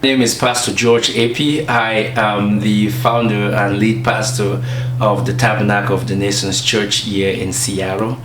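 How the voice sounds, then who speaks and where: A man from Cameroon with a Cameroonian accent, a pastor speaking in a documentary interview, measured and pausing with fillers. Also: lowish voice, flat delivery. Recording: roomy with faint ambient noise.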